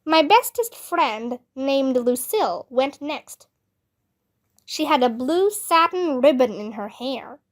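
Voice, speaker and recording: A high-voiced woman narrates a children's storybook in the first person. She reads slowly and deliberately, with expression. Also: expressive. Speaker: read-aloud narrator of a children's book.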